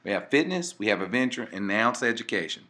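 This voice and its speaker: Deep-voiced man in his forties. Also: fast. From Mississippi. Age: 40s. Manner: relaxed, many fillers and restarts. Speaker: channel co-host. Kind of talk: casual voice-over narration.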